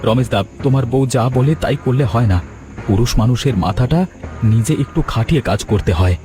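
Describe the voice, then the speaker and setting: A man's voice: medium pitch, normal speed, close to an everyday voice. A man voicing a righteous, caring son-in-law in a cartoon drama, with an emphatic, moralising delivery. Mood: admonishing. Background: prominent music.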